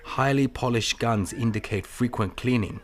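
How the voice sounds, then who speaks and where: A man's voice: mid-range voice, normal speed, a little hiss or hum, natural voice. A man playing a shrewd, courteous Chinese detective in an audio mystery drama, speaking in clipped formal phrases with dropped articles. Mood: shrewd, observant. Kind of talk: audio drama re-creation of 1930s mystery film.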